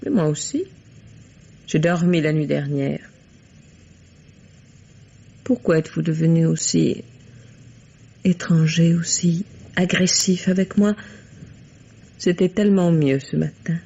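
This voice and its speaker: A woman's voice: sits low, slow, vocal fry, long pauses, mellow, breathy edge, heavily acted. An actress playing a defiant young murder suspect in 1950s radio mystery style, exasperated and flippant in her protests. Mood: exasperated, reproachful. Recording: dull vintage radio drama recording.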